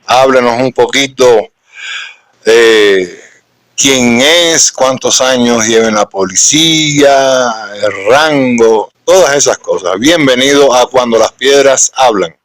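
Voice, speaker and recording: A man from Cuba with a Cuban accent, hosting a live-stream interview in an earnest, unhurried way. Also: medium pitch, fairly bright tone, dry recording. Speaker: host.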